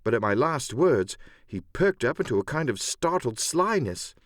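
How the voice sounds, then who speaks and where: Animated male audiobook narrator, dry close sound. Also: mid-range voice, normal speed, no echo. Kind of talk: dramatic scripted narration of an adventure novel.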